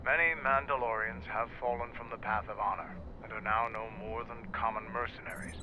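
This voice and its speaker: An actor playing a proud, stern warrior clan leader in a video game, speaking with measured authority. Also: male voice, fairly low pitch, fairly even intonation, background noise, close to an everyday voice. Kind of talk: video game character dialogue. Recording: loud music under game dialogue.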